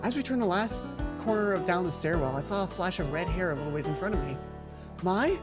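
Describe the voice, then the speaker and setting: Low-voiced woman narrating over music. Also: fast. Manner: animated, self-correcting, repeats lines to rehearse them. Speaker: let's play host and narrator. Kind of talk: reading a visual novel aloud with casual asides. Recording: music playing behind the voice.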